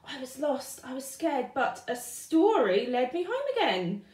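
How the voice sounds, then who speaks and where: Woman playing an excitable, high-voiced little boy fish. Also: measured pace. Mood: earnest, relieved. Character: young excitable boy fish telling tall tales. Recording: roomy indoor echo.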